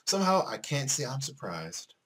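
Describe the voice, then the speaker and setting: A man's voice: mid-range voice, very animated, normal speed, very noisy, lightly acted. A man reading the part of a dry, sardonic teenage schoolboy who narrates his life in a visual novel.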